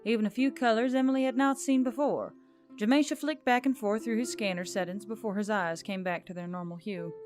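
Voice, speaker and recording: A woman's voice: medium pitch, fairly even intonation, a little fast, a little mellow, faint music behind. A woman reading scripted fiction as an audiobook-style narrator.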